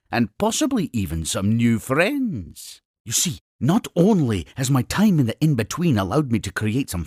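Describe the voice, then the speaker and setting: A man's voice: medium pitch, dry recording, studio quality. A boastful scottish inventor critter for a game, showman patter, voice leaps all over the place. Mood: persuasive, eager. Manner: theatrical, showman-like, chuckling salesman patter. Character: eccentric, boastful creature explorer, inventor and salesman. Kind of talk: scripted video game character speech.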